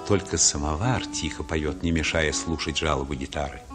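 A man's voice: a bass voice, steady tone, moderate pace, over loud music. An actor playing a grown man who recalls his childhood, narrating a literary radio play in a reflective way.

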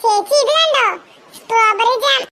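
An extremely high, squeaky little-girl voice for a cartoon kid sister. She sounds childlike, eager and pleading. The track has faint noise behind it. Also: moderate pace, pushed and bright, full character voice. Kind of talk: Malayalam comedy cartoon dialogue.

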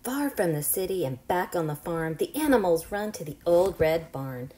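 A woman in her forties reading aloud to young children in an animated, questioning, teacherly way. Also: lowish voice, moderate pace, reverberant. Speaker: children's storybook reader. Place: small home room, indoors.

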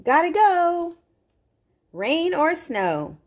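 Woman, children's read-aloud host, very animated intonation, unhurried, expressive, simple asides to young listeners. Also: extremely high pitch.

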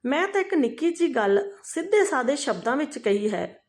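Woman acting young married man, high lively voice, aggrieved, protesting, plain-spoken, narrated family drama. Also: a little fast. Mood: sad, fed up.

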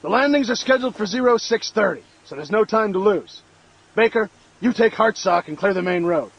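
A man playing a gruff American army sergeant, giving brisk, authoritative orders. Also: high voice, expressive, no echo, dull audio, exaggerated voice. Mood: commanding, urgent. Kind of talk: military orders in game dialogue.

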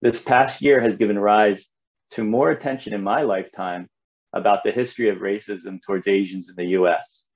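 A man's voice: fairly low pitch, fairly even intonation, normal speed, lo-fi sound. A man in his thirties from southern New Jersey, giving earnest prepared remarks as a nonprofit leader on a video call. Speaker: nonprofit CEO, panelist. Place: home room on a video call.

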